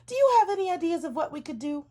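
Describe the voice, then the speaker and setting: A woman's voice: very high-pitched, sing-song, fast talker, warm tone, voice pushed up. A woman voicing a kind, eager young frog in a children's storybook, speaking earnestly and politely.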